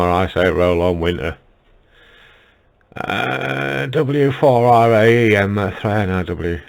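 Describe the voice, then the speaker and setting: Northern english bloke on ham radio, really deep slow voice, grumbly and blunt, casual chat. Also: male voice, vocal fry. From United Kingdom. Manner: grumbling, blunt, dry-humoured, mild swearing. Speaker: amateur radio operator.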